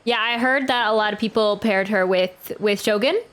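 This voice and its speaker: A woman's voice: mid-range voice, normal speed, fairly bright tone. A woman streaming a game, chatting casually and rambling as she thinks aloud. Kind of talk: casual live stream commentary. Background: game music.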